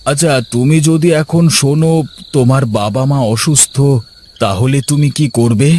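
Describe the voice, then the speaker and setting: A man voicing a gentle, regretful married elder brother in a cartoon, sounding troubled. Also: medium pitch, unhurried, slight background noise, natural voice. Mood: reasoning. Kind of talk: Bengali cartoon dialogue.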